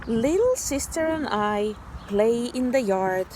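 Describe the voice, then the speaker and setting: A woman teacher reading a story aloud to young children in an animated, deliberate, child-directed way. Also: a little high, slight background noise, poor audio. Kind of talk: expressive children's read-aloud.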